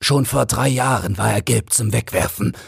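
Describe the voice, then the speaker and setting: Man voicing a husky, vengeful old father. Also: on the low side, normal speed, no echo, clean studio sound, pitched above the performer's own voice, exaggerated voice. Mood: bitter, accusing. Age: older. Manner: taunting, declamatory, condemning. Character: vengeful, mocking old father, scornful and triumphant. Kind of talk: dramatic audiobook character reading.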